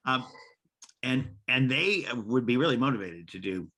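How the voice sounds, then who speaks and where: A man's voice: mid-range voice, lively intonation, normal speed, sharp tone. A man hosting a podcast conversation, rambling with frequent restarts and repeated words as he builds his questions. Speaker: podcast host.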